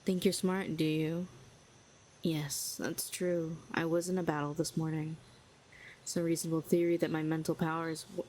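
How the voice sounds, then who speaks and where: Audio roleplay: woman voicing a condescending female villain telepath, low and flat, dryly mocking. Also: a little hiss or hum, close to an everyday voice. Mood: cold mocking.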